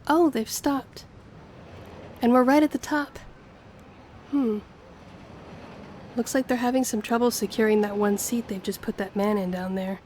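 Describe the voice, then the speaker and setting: A woman's voice: a little high, fairly even intonation, unhurried, pauses often, darkish tone, natural voice. A woman playing a friendly, earnest young woman with a shy romantic streak in an intimate audio roleplay, speaking to the listener. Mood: chatty, friendly. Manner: chatty, earnest, flustered hesitations when romantic. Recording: clear background ambience noise.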